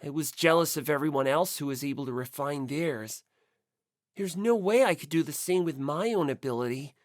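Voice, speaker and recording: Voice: mid-range voice, moderate pace, no room sound, clean studio sound. A narrator reading a scripted story and credits for an audiobook. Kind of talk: scripted manga and fan fiction reading.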